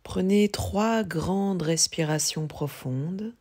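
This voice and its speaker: Slow, husky woman guiding meditation. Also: lowish voice. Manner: calm, measured, instructional with long pauses. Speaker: yoga teacher. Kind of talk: guided yoga nidra meditation.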